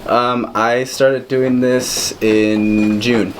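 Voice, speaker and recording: A man's voice: mid-range voice, level tone, extremely slow. A man of twenty-one talking casually as a radio guest, laid-back and slangy. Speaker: guest singer-songwriter. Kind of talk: casual chat. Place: local radio studio. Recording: faint background noise on live radio.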